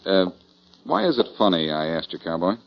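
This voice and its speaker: An actor playing a breezy, confident amateur detective in 1940s radio style, wry and probing. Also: male voice, sits low, big pitch swings, brisk, gravelly, full character voice. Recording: muffled lo-fi 1940s radio broadcast.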